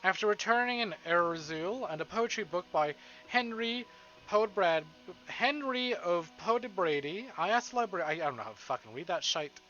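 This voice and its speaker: A man reading a visual novel aloud on a live stream, with casual, excitable, foul-mouthed reactions. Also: high-pitched, normal speed, dull audio, soft background music.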